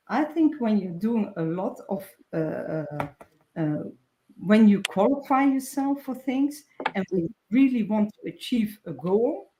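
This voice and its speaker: A woman on a discussion panel who speaks haltingly, with many fillers. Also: medium pitch, mellow, rough recording.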